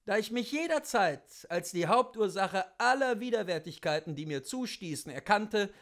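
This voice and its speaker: High-pitched man, expressive literary reading. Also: moderate pace. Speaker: narrator reading a literary memoir aloud.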